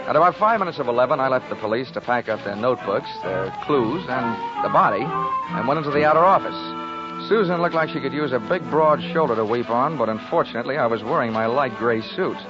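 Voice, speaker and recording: An actor playing a cocky, wisecracking hardboiled investigator with dry sardonic delivery in 1940s radio drama style. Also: male voice, medium pitch, animated, brisk, close to an everyday voice. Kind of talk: 1940s radio acting with first-person narration. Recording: dull 1940s radio recording with music.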